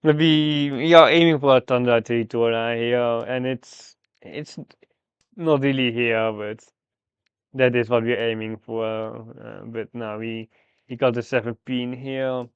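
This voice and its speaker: A man live-streaming a game, thinking aloud through his moves in a halting, fragmented way. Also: mid-range voice, steady tone, smooth, no room sound, highs cut off. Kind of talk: live mahjong gameplay commentary, thinking aloud.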